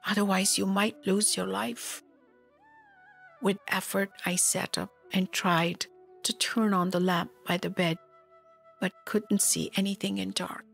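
A woman reading a scripted spiritual memoir aloud in a measured, solemn manner. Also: mid-range voice, creaky, a bit bright. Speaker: spiritual teacher, narrator. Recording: music behind the voice. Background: music.

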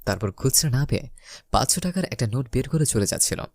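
A young man narrates a romantic comedy story in a theatrical, first-person way. His mid-pitched voice swings up and down with lots of expression, and the sound is dry and close. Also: expressive, fast talker, darkish tone, no room sound. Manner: theatrical, acts out his lines.

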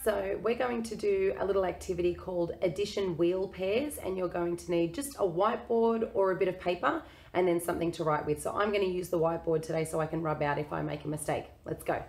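A woman in her thirties with a croaky, hoarse voice, teaching a children's maths lesson in a friendly, encouraging way. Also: fairly low pitch, a little fast, darkish tone. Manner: friendly, encouraging, talks to kids. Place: small indoor room.

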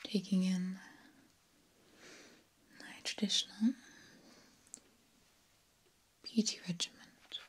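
A young woman whispering breathily in an ASMR roleplay as a genteel, sinister vampire countess, hushed and deliberate, with long pauses. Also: mid-range voice, little pitch movement. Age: young adult. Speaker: ASMR performer. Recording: clear background noise and ambience.

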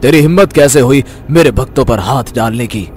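A man voicing a powerful, stern god who protects his devotees, solemn and authoritative, in an animated devotional story. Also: medium pitch, a little fast, slight background noise, close to an everyday voice. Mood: wrathful.

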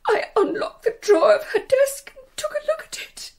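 A timid spinster companion in a radio drama. Her voice is very high and fluttery. She sounds flustered and plaintive, weighed down by guilt. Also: sing-song, normal speed, darkish tone, full character voice. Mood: tearful, guilty.

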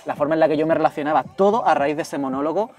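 A young man from Jerez with a softened Andalusian accent, chatting fast and animatedly as a guest inside a car. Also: fairly high pitch. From Andalusia, Spain. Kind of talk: casual chat.

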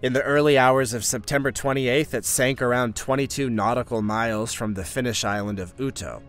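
A man reading scripted documentary narration for a countdown video, measured and informative with the odd wry aside. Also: medium pitch, fairly bright tone. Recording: faint music bed behind voice.

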